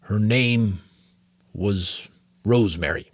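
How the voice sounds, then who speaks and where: A man voicing a weary, wise old male mentor from an audiobook novel, gentle and measured. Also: on the low side, no room sound. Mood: wistful.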